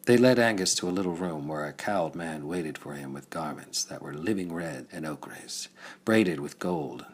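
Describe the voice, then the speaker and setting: A man with a very deep, husky voice reads as an audiobook narrator. His delivery is scripted and dramatic, suited to pulp science fiction storytelling. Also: expressive, normal speed.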